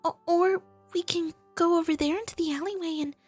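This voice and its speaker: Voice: extremely high pitch, steady tone, a little fast, pitched above the performer's own voice. A performer voicing a dainty, genteel female pony in a story reading, cooing and coaxing, with music behind. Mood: coy, coaxing.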